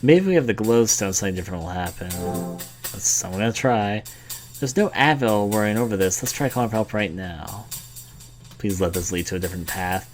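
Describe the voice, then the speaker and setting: A man's voice: on the low side, brisk, soft background music. A man giving casual let's play commentary over a video game, reading and reacting as he plays. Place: indoors, recording over gameplay.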